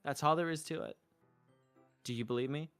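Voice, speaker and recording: A man's voice: a little high, a little fast, a bit bright, clear, resonant tone. A man streaming a visual novel playthrough, narrating and reading the player character's part. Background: music.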